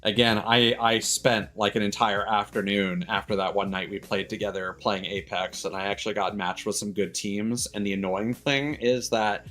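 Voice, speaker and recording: A man chatting casually as he hosts a game stream, rambling and halting, with stammers and offhand swearing. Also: on the low side, fairly even intonation, fairly bright tone. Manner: casually profane. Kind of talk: casual livestream chat. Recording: music playing behind the voice.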